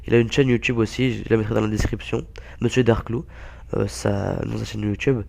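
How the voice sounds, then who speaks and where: A young man with a hoarse, blocked-nose voice from a cold, giving halting, casual gameplay commentary full of fillers. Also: lowish voice, steady tone, a little mellow. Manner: halting, many fillers and restarts. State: hoarse, stuffy-nosed with a cold. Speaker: gaming YouTuber.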